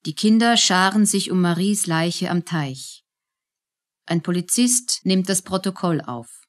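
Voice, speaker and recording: A woman's voice: lowish voice, a little slow. A woman narrating a radio drama in scripted storytelling style.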